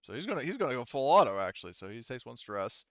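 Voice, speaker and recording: A man acting as game master, narrating a tabletop roleplaying game in a halting, thinking-aloud way. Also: mid-range voice, very animated, a bit bright, dry recording, highs cut off. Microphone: headset.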